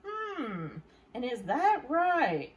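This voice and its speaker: A woman reading a storybook part of a wise, gentle older woman gardener who talks in folksy, reassuring phrases. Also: high-pitched, huge pitch swings, very slow, pitched above the performer's own voice, exaggerated voice. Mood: attentive.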